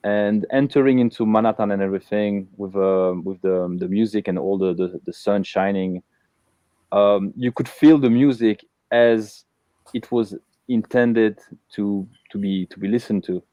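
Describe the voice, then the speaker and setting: A man's voice: fairly low pitch, steady tone, measured pace, warm tone, no echo. A man from Belgium hosting a casual live interview, talking with many fillers and restarts. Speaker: host.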